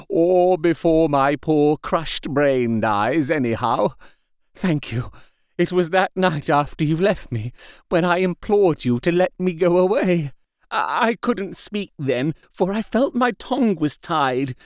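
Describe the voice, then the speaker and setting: Man doing a high, breathless dying patient, slow, strained, pleading. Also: clear, resonant tone, dry recording, studio quality. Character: dying male asylum patient, weak, desperate, gasping. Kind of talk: audiobook character reading.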